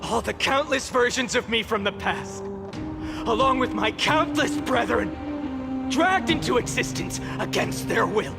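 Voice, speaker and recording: An actor playing a vengeful, defiant young warrior hero in a video game, speaking in a gravelly, deliberate, grandiose and bitterly scornful way. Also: male voice, very high voice, loud music behind the voice, heavily acted. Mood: blazing fury. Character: vengeful, defiant young male warrior hero, exhausted yet burning with fury. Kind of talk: dramatic English game voice acting, theatrical monologue.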